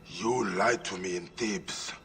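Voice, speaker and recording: An actor playing a stern, determined warrior investigator in a video game, questioning people in a terse, no-nonsense way. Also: male voice, deep voice, takes it slowly, fairly bright tone. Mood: grave, demanding. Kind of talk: video game cutscene acting. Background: music.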